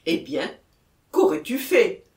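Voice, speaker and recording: A man's voice: high voice, huge pitch swings, takes it slowly, reverberant, pitched above the performer's own voice, exaggerated voice. A man voicing an earnest male police commissioner in an audiobook, questioning formally and firmly. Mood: insistent.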